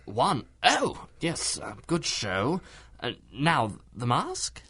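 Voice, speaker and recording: A man's voice: medium pitch, wildly swooping pitch, extremely slow, sharp tone, slightly rough, exaggerated voice. A man playing a polite, fretful older inventor in a radio drama, speaking in a genteel, hesitant, insistent way. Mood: fussy, insistent. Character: polite, fretful, inquisitive older inventor, an old-fashioned gentleman.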